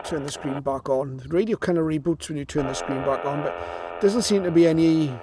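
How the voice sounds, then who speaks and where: A man's voice: fairly high pitch, fairly quick, noisy background. A man giving an informal, matter-of-fact product demonstration, explaining things as he goes. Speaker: presenter of a radio receiver review.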